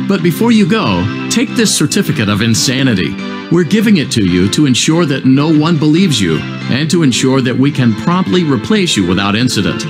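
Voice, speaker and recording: Man acting as smug, animated corporate announcer. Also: medium pitch, natural voice. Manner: salesman-like, mock-congratulatory. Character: cheery, smug male company announcer, cheerfully insulting. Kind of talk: upbeat retro corporate cartoon narration.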